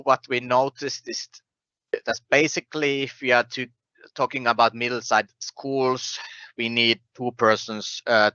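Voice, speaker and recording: A man giving a technical presentation in a halting, hesitant way over an online call. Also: mid-range voice, pushed and bright, no room sound. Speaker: conference presenter. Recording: muffled online webinar audio.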